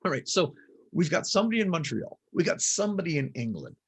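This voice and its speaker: A man's voice: on the high side, very animated, fast talker, husky. A man hosting a casual radio interview, upbeat and chatty, laughing often. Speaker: podcast host.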